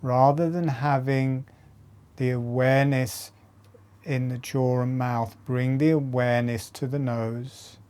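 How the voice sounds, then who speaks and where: A man with a mellow, relaxed voice of medium pitch guides a breathing meditation. He speaks extremely slowly, in short measured phrases, leaving long pauses between them as an instructor would. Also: clean tone.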